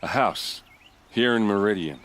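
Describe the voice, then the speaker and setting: A man playing a hard-drinking, loyal guard captain in a video game, talking informally and a little haltingly. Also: on the low side, fairly bright tone. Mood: bewildered. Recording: music behind the voice.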